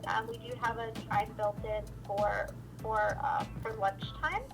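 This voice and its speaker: A woman's voice: medium pitch, flat delivery, unhurried, a bit bright. A woman working in customer service, answering questions on a phone call. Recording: phone call under music. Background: music.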